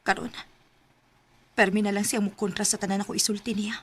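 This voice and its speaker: A woman's voice: mid-range voice, little pitch movement, a little fast, very noisy, lightly acted. A Cebuano actress playing a devoted, easily hurt young woman in a romantic radio drama, with an emotional, pleading manner. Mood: sad, brooding. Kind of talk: Filipino radio drama acting.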